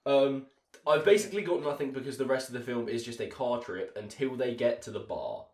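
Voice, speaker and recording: A man's voice: medium pitch, lively intonation, fairly quick, darkish tone. A man in casual podcast discussion, speaking in a blunt, dry way in an echoey room.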